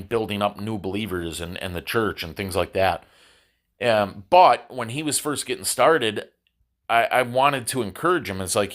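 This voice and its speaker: Male podcast host, low-pitched, nasal and congested from a cold; unscripted, halting monologue. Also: fairly bright tone. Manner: halting, repeats words while thinking. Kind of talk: solo religious podcast monologue. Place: his apartment.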